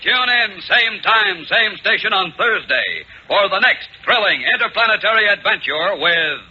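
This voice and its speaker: A man's voice: high voice, lively intonation, measured pace, noisy background. A man narrating a 1950s radio serial in an emphatic, theatrical announcer style. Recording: dull 1950s radio recording. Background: loud dramatic music.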